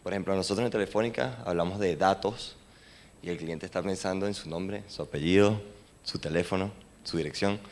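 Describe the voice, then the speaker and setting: A man with a very low voice asks a question from the audience at a conference. He sets up his point with examples and a bit of wordplay, keeping the tone light. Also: fairly quick. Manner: lightly humorous, plays with wordplay. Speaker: audience member asking a question. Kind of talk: question from the floor. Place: conference venue.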